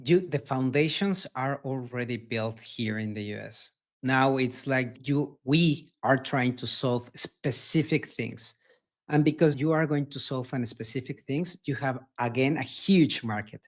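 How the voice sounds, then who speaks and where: Mexican-accented founder, earnest and repetitive. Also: male voice, medium pitch, measured pace. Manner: earnest, repeats words, non-native phrasing. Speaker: startup founder guest. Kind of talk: casual interview answers.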